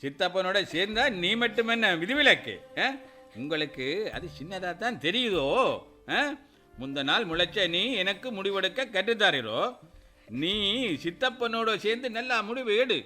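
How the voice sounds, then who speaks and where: A man's voice: sits high, expressive, normal speed, faint music behind. A man playing a proud, furious uncle. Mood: scornful. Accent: Sri Lankan Tamil. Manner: indignant, shouting rebukes. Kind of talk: radio drama acting.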